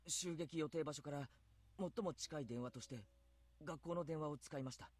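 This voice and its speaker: An actor voicing an earnest teenage boy who talks in a steady, clear, resolved way. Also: on the high side, fast, lightly acted. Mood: resolute. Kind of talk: Japanese game voice acting.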